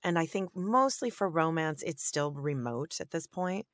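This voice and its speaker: A woman who narrates audiobooks, with a deep, raspy voice, chatting enthusiastically in an interview and often saying "you know.". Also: animated, unhurried, no echo, studio quality. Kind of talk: conversational interview answers.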